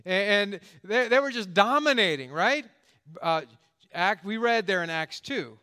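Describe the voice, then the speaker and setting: A man's voice: high voice, lively intonation, measured pace, pushed and bright, clean tone. A man in his fifties, a pastor delivering a conversational sermon with jokes and emphatic stresses. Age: 50s.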